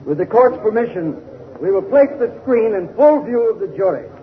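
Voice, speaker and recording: Casting: hard, oratorical 1930s radio prosecutor, very high voice, dull vintage sound. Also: male voice, lively intonation, moderate pace, slight background noise. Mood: formal, assured. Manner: declamatory, accusatory, formal. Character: hard, pompous male courtroom prosecutor. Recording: muffled 1930s radio recording.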